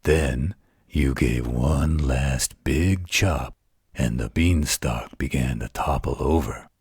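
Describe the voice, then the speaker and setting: Man voicing a very deep, husky, tender werewolf. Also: a little mellow, no room sound, clean studio sound, heavily acted. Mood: hushed storytelling. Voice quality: gravelly, husky. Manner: soothing, unhurried, tender. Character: gentle, protective male werewolf telling a bedtime story. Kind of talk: bedtime story roleplay audio.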